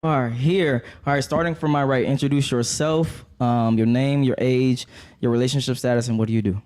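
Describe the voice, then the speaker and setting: Young male podcast host, casual and jokey, mid-pitched. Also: steady tone, moderate pace, a little mellow, a little hiss or hum. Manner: laughs often, loose and joking.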